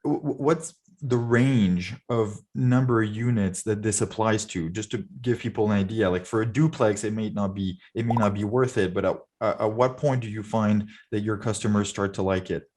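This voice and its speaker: A man's voice: lowish voice, normal speed, darkish tone. A man hosting a podcast, asking casual, conversational interview questions. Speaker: podcast host.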